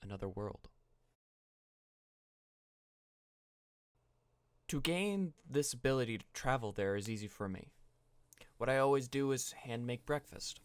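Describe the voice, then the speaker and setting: A man playing a young podcaster narrating a dreamy, brooding story reflectively, with deliberate dramatic pauses. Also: mid-range voice, lively intonation, clean tone, no room sound, natural voice. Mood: musing. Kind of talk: scripted first-person narration.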